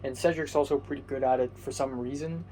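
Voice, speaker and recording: A man's voice: mid-range voice, steady tone, quick pace, dark tone. A young man from Hong Kong whose first language is Cantonese, casually telling a rambling story with many fillers, restarts and casual swearing. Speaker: vlogger.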